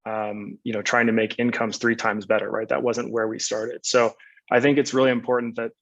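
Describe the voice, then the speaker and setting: A man speaks as a company representative on an online panel. His mid-range voice stays very flat as he explains, and the call sound is somewhat dull and muffled. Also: medium pitch, a little fast, creaky.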